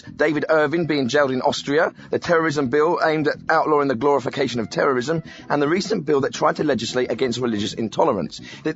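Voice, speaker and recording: Man playing lively, higher-pitched phone-in radio host. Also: natural voice. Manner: chatty, interjecting constantly, blunt questioning. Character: bumbling, easily sidetracked male radio phone-in host. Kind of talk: spoof radio phone-in presenting.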